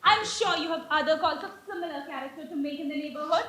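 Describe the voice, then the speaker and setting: A young woman playing a sharp-tongued Victorian country girl in a stage play, theatrical and pointedly polite. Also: sits high, quick pace. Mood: coolly cutting. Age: young adult. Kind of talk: amateur stage play performance. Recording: echoey stage, faint noise.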